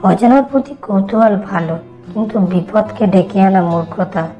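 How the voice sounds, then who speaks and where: A woman voicing a gentle, wise village grandmother in a cartoon story. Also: medium pitch, normal speed, highs cut off, soft background music, lightly acted. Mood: soothing, advising. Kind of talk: Bengali animated horror story dialogue.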